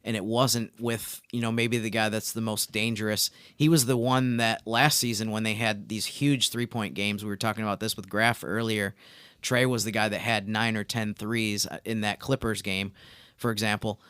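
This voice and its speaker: A man co-hosting a sports podcast, giving conversational analysis in an explanatory, measured manner. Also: on the low side, level tone, fairly bright tone, clean tone, no echo.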